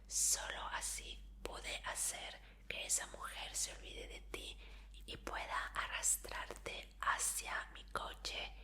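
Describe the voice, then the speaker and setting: A woman whispering as a creepy obsessive fan. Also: slow pace. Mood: coaxing. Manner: soft pleading whispers, coaxing and apologetic. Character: obsessive female fan, sweetly creepy captor. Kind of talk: whispered ASMR roleplay.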